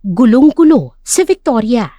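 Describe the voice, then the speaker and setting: A woman's voice: fairly high pitch, very animated, unhurried, warm tone, no echo. A woman narrating a scripted dramatic story.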